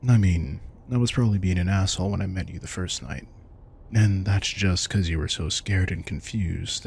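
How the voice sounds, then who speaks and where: A man playing a protective, wry and tender vampire king in an intimate audio roleplay, soft-spoken and casual. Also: deep voice, gravelly, a little hiss or hum. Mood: gently concerned. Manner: soft-spoken, casual, reassuring, occasionally swearing. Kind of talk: intimate audio roleplay, one-sided dialogue.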